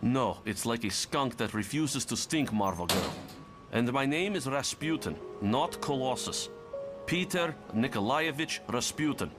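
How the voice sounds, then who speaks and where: A man's voice: mid-range voice, expressive, measured pace, music in the background, natural voice. A man playing a bitter, stubborn nineteen-year-old Russian mutant strongman in a motion comic, speaking formally and emphatically. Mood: curt, fed up. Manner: formal, uncontracted, emphatic speech.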